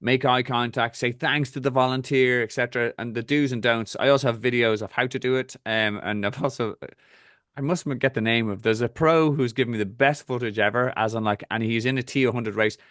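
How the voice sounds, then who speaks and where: A man's voice: mid-range voice, steady tone, a bit bright, dry recording, clean studio sound. A man with an Irish accent, a triathlon coach hosting a casual talk and giving direct, practical advice. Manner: direct, instructive, rapid asides.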